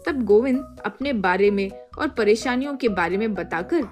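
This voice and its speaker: A woman's voice: mid-range voice, animated, moderate pace, a little mellow. A woman narrating a scripted moral story, with music behind her.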